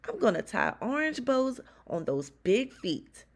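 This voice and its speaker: A woman plays a lively ballet mistress in a storybook, theatrical and brisk with the pupils. There is a creaky grain to the voice. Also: a little high, pitched above the performer's own voice, heavily acted. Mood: playful scolding. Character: lively female ballet teacher addressing her young class. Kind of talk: storybook read-aloud.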